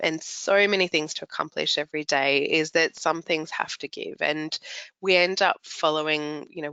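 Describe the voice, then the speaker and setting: A middle-aged woman with a slightly croaky, raspy voice, presenting a product launch webinar in a chatty, upbeat way with frequent ums. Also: fairly low pitch, bright, forceful tone, dry recording. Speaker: webinar presenter, naturopath trainer. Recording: dull lo-fi webinar audio.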